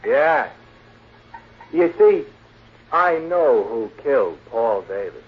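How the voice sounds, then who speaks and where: An actor playing a sly, greedy private detective, cagey and drawling, in a 1940s radio drama. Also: male voice, mid-range voice, very animated, slow, vocal fry, slightly rough, exaggerated voice. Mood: smug, taunting. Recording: muffled, noisy 1940s radio recording.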